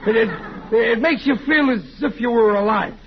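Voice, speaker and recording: A blustering, gullible husband with a high voice, stammering and emphatic. The style is 1940s radio comedy, on a dull, slightly hissy old recording. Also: male voice, normal speed. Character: blustering, gullible, stubborn working-class husband and father. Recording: muffled old-time radio recording, faint hiss.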